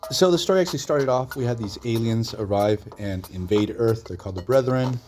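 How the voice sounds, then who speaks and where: Man, hoarse voice, mid-pitched, chatty solo host, rambling retelling, faint music underneath. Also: fairly quick, a little mellow. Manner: chatty, filler-heavy retelling with laughs. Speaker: comic book channel host. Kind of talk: casual solo video commentary.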